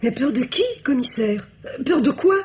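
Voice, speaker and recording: An actress playing a sociable, bubbly young confectioner in a French radio mystery, chatty and breezily untroubled. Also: female voice, sits high, expressive, normal speed, darkish tone, muffled recording, slight background noise. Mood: breezy, reassuring.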